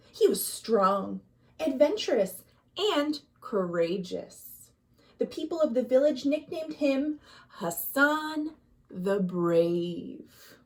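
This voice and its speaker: A woman reading a children's story aloud in an expressive, dramatic way, as a storytime host. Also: mid-range voice. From United States. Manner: expressive, dramatic, deliberate delivery with hushed phrases. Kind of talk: animated picture-book storytelling for children.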